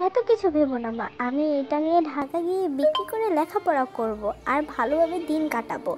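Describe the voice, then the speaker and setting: A woman's voice: sits high, expressive, fairly quick, over music. A woman voicing a young village girl in a cartoon moral story. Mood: evasive. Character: young village girls in a fairy tale, stepdaughter and sister.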